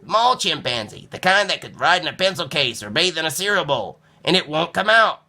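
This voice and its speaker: A man voicing an eager, excitable young boy with wide-eyed exclamations in a children's storybook. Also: fairly high pitch, brisk, voice pushed up, heavily acted. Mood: earnest. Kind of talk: children's storybook read-aloud.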